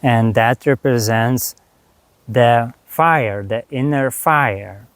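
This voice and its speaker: A man with a Lithuanian accent, a spiritual teacher talking slowly and haltingly to camera about his course, with many pauses and restarts. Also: mid-range voice, no room sound. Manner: unhurried, halting, frequent fillers and restarts. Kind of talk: reflective monologue.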